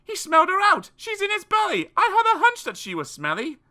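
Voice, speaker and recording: Storyteller putting on a cheeky kid voice, high and chirpy. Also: huge pitch swings, brisk, sharp tone, exaggerated voice. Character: cheeky young boy, cocky then scared.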